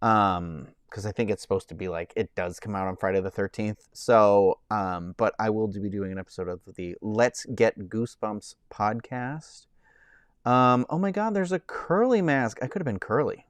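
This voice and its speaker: A man's voice: normal speed, no room sound. Rambling man chatting on a live stream. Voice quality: says he has a high-pitched voice. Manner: rambling, many fillers and restarts. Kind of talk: casual live-stream chat.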